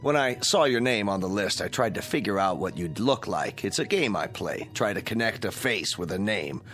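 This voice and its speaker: Breezy, animated male joker. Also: fairly low pitch, normal speed, soft background music. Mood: wisecracking. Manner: breezy, joking, insistent. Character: jovial, pushy male ship passenger, wisecracking and sociable. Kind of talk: radio drama acting.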